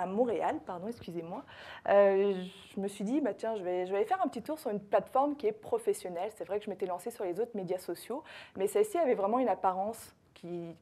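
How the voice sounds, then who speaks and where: French woman, conference speaker, mellow voice, frequent pauses and corrections, live talk. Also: medium pitch. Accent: French (France). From France. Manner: hesitant, many fillers and self-corrections. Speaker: social media consultant and trainer.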